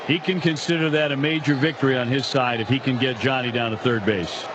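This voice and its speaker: A man's voice: mid-range voice, fairly even intonation, brisk. A man commenting on a live baseball game in an informal, opinionated, conversational way. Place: ballpark broadcast booth. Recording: noisy live stadium broadcast. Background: clear stadium ambience.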